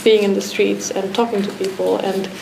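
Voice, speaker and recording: A woman's voice: mid-range voice, little pitch movement, moderate pace, gravelly. A woman from Romania, an artist, speaking reflectively with many restarts and fillers in a talk discussion. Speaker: artist guest. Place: art academy pavilion. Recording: echoey room with background noise.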